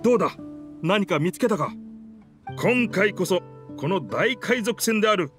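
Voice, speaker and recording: An actor voicing a proud, dramatic talking pirate ship in an anime video game, in pompous, rough masculine speech. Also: male voice, high voice, expressive, moderate pace. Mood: boastful. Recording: music behind the voice.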